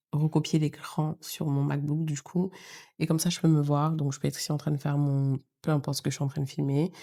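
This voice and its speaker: Low, dark-voiced woman with a cold. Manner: chatty, mixes English phrases into French. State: congested with a cold. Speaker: vlogger. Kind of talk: casual vlog talk to camera. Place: at home.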